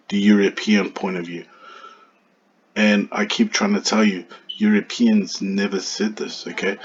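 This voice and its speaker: A man's voice: lowish voice, slight background noise. A man arguing back at a commenter in a heated monologue, firing off rhetorical questions. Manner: argumentative, full of rhetorical questions. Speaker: vlogger replying to a commenter. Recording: dull lo-fi recording.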